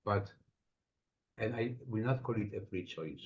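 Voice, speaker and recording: A man with an Estonian accent, a psychotherapist answering interview questions thoughtfully, with many pauses. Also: fairly low pitch. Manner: reflective, explains with everyday examples, frequent pauses. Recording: dull lo-fi sound.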